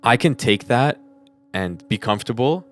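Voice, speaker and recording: A man's voice: medium pitch, lively intonation, normal speed, fairly bright tone. A young adult man with an American accent talking casually and earnestly on a podcast, giving advice as a co-host.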